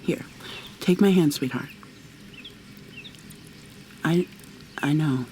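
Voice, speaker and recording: A woman playing a tender, possessive kidnapper in an ASMR roleplay, speaking softly and haltingly, often slipping into a breathy whisper as she coaxes. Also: low-pitched, slight background noise. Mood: coaxing, tender. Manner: coaxing, gentle, halting. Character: tender, possessive female kidnapper doting on her captive lover.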